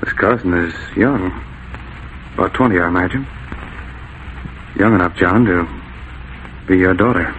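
A steady, decent Mountie with a fairly low pitch and measured, earnest delivery. It is 1940s radio acting, slightly dulled with faint noise. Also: male voice. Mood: calm, probing. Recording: dull, faintly noisy vintage radio.

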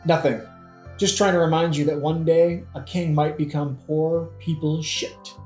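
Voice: mid-range voice, steady tone, unhurried, darkish tone, close to an everyday voice. An actor playing a flippant, sardonic, brooding young prince in a satirical modern-language audio play. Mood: flippant, morbid. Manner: flippant, mocking, theatrical. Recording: roomy echo, faint music behind.

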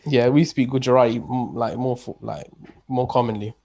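Man, mid pitch, conversational guest who rambles and restarts often. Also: steady tone, normal speed, gravelly, mellow. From England. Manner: rambling, many restarts and "you know"s. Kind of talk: casual conversation.

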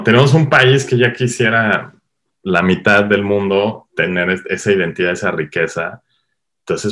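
A middle-aged Mexican man with a Mexican accent, a guest filmmaker talking animatedly in a casual interview, full of quick asides. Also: deep voice. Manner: animated storyteller, quick asides, lots of "o sea".

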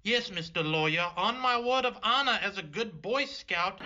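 A man's voice: sits high, lively intonation, normal speed, sharp tone, heavily acted. An actor playing a sneering, crooked male witness in an old-time radio drama, with a sarcastic manner. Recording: dull, very noisy home recording.

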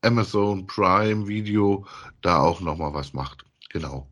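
A man's voice: sits low, a little slow, a bit bright. A man chatting casually as co-host of a podcast that reviews audio dramas.

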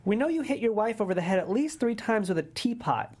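Woman reading as a fast-talking, wry police detective. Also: a little high, big pitch swings, darkish tone, slightly rough. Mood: firm. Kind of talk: table read of a TV pilot script.

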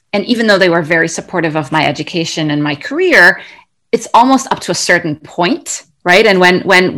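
A woman's voice: lowish voice, creaky, fairly bright tone. A woman from Egypt answering interview questions earnestly, with many fillers and restarts. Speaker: interview guest, tech founder.

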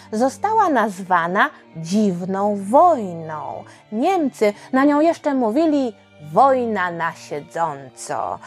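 A woman's voice: fairly high pitch, husky. A woman narrating history for children in a dramatic, explanatory storytelling style, speaking directly to listeners. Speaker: podcast narrator.

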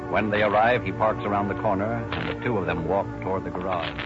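A man giving measured, scripted narration for a 1930s radio drama. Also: low voice, level tone. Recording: dull old radio recording with loud music behind.

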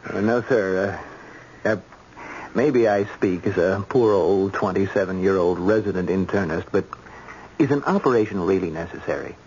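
Guy acting a young hospital resident in an old radio play, careful n low-key, bit hesitant, muffled noisy tape. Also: moderate pace, darkish tone, close to an everyday voice. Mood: cautious, doubtful. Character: cautious young male medical resident, twenty-seven, wry. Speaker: radio drama actor. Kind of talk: 1970s radio drama acting.

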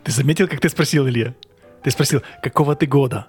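A man's voice: mid-range voice, fast, husky, soft background music. A man hosting a casual podcast interview, asking questions and teasing his co-host.

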